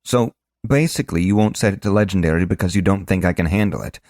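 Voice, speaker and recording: A man's voice: sits low, quick pace, darkish tone, no room sound, clean studio sound, voice pushed up. A man voicing a cocky, impatient young male initiate who argues and coaxes. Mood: coaxing. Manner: insistent, then coaxing.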